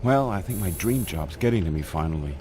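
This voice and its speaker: A man's voice: low-pitched, animated, a little fast, crowd noise. An actor playing a weary, dry and downbeat male psychiatrist in a video game cutscene, with a tired, understated delivery.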